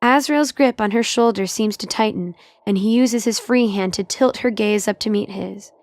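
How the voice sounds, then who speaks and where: A woman's voice: mid-range voice, moderate pace, a little mellow, no echo. A woman reading scripted, dramatic story narration for an audio drama.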